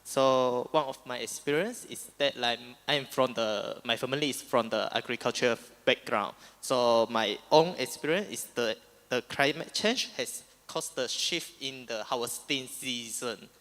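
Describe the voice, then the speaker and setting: A man's voice: mid-range voice, a little slow, fairly bright tone. Man, filler-heavy, hall echo. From Sarawak, Malaysia. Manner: frequent "like" fillers. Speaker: youth delegate.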